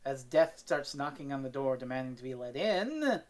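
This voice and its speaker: A woman's voice: extremely low pitch, animated, normal speed, darkish tone. A woman co-hosting a review, recapping a story in casual chat. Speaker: podcast co-host reviewing an audio drama.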